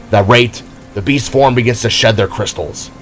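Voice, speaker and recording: A man's voice: fairly low pitch, moderate pace, a bit bright, slight background noise, close to an everyday voice. A man voicing a stern, knowledgeable older brother, a glowing energy warrior in an audio drama, speaking in a grave, explanatory way. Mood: grave, explaining.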